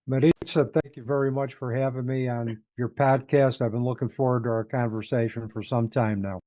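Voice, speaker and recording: A man in his sixties with an upstate New York accent, a guest talking through his working life in a measured, thoughtful way. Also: medium pitch, steady tone. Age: 60s. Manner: measured, thoughtful, with small restarts. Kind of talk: reflective interview conversation. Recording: tinny phone-like line.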